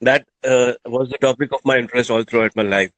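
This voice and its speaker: A man in his forties from India, a singer and interview guest, speaking slowly and deliberately. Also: medium pitch, steady tone, no room sound, poor audio. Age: 40s. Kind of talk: casual interview chat.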